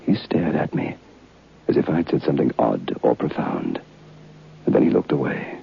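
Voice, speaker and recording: An actor playing a haunted, curious former student who narrates a vintage radio horror tale in a deliberate, literary, brooding way. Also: male voice, extremely low pitch, big pitch swings, vocal fry, breathy edge, exaggerated voice. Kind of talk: dramatic first-person horror narration. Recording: muffled, noisy old radio recording.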